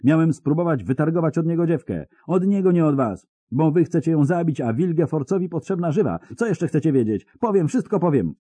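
Panicky captured sorcerer-spy read by a man, high and rushed, begging to spill his secrets. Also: sing-song, dark tone, husky, no echo. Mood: frantic pleading. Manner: frantic, pleading, spilling everything. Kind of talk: audiobook character reading.

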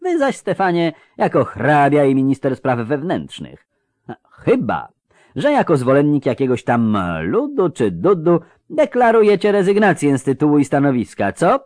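Booming bass lord played by a man, aggrieved, power-hungry, emphatic, rumbling, storybook character. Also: huge pitch swings, normal speed, a little mellow, no room sound, clean studio sound. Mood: emphatic, imperious. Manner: bellowing, emphatic.

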